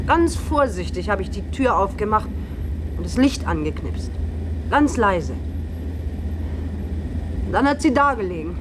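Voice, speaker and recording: An actress playing a stubborn, tight-lipped middle-aged farm woman in a German radio play, speaking in terse, plain sentences. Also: mid-range voice. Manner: terse, guarded, plain rural phrasing. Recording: loud vehicle and outdoor noise behind.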